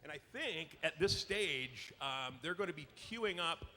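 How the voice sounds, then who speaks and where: A man's voice: fairly high pitch, lively intonation, unhurried, sharp tone. A man moderating a convention panel, chatty and joking with many fillers. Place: large convention hall. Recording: reverberant hall with faint noise.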